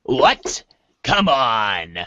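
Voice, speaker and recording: Man playing bright-voiced, hesitant teenage boy. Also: mid-range voice, sing-song, drawn-out words, dry recording, muffled recording, exaggerated voice. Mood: whiny, weary. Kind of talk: amateur dramatic reading of webcomic chat dialogue.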